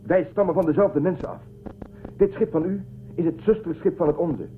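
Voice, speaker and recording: A man's voice: high voice, fairly quick, a little hiss or hum, natural voice. Man acting an earnest starship commander, phone-like sound. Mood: earnest, explaining. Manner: earnest, questioning, persuasive. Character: earnest young male starship commander searching for Earth. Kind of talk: Dutch science-fiction radio drama acting. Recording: narrow-band muffled audio, faint music.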